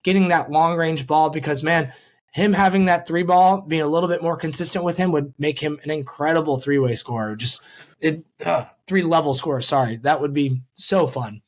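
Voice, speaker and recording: Higher-voiced man chatting casually about sports. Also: normal speed. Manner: rambling, informal, repeats words and self-corrects. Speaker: sports podcast host.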